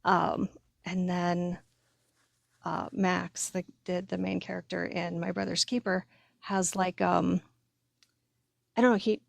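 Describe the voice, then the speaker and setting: A woman co-hosting a podcast in a casual, informal way, halting often as she works out her thoughts. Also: on the low side, flat delivery, gravelly, no room sound. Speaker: podcast co-host. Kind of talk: casual chat.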